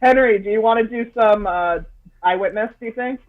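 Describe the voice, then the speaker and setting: Guy in his 20s, native scots speaker, pretty high voice, jokey giggly stream chat over video call. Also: fairly quick, narrow, tinny audio. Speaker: livestream co-host. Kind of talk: casual group chat. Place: remote group video call.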